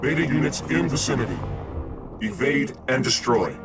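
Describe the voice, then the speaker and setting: A man's voice: mid-range voice, expressive, normal speed, over loud music, close to an everyday voice. A man playing a cold collective machine intelligence in a video game, speaking in clipped, formal statements. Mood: clipped, commanding. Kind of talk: scripted video game cutscene acting.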